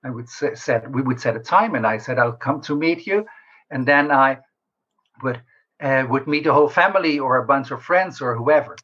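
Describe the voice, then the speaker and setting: A man answering interview questions as a researcher, explaining in a measured way with pauses mid-sentence. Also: mid-range voice, animated, a bit bright, husky. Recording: slightly dull lo-fi call audio.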